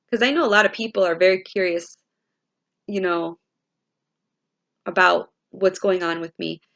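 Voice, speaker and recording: Female spiritual vlogger, mid pitch, raspy this morning, unhurried and reflective. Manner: slow, musing, repeats and restarts phrases. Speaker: spiritual vlogger and tarot reader. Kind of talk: casual spiritual talk to viewers.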